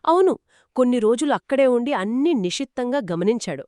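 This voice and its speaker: A woman's voice: fairly high pitch, animated, fairly quick, mellow, no room sound, clean studio sound. A woman telling a moral story conversationally to a co-host, explaining each step expressively and affirming as she goes.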